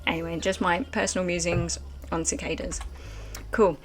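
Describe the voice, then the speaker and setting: Chatty low-voiced woman streamer. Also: little pitch movement, normal speed, soft background music. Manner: rambling, chatty, many fillers like "like" and "um". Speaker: live streamer. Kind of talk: casual let's-play commentary chatting with chat.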